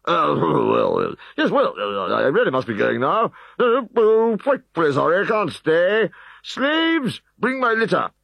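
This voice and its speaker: A man's voice: mid-range voice, sing-song, normal speed, creaky, no room sound, highs cut off, voice pushed up. A man voicing a jovial, pompous Roman prefect in a storybook reading. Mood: flustered. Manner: blustering, flustered.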